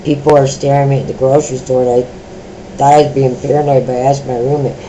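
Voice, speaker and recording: A middle-aged woman with a deep voice, sharing her own experiences in a personal vlog, speaking slowly with many pauses, ums and restarts. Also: flat delivery, dark tone, highs cut off, slight background noise. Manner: slow, halting talk with many fillers and restarts.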